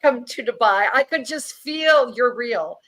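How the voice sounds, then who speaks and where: Gushing, higher-voiced woman host. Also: lively intonation, measured pace, fairly bright tone, poor audio. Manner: gushing, playful, exaggerated praise. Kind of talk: interview hosting.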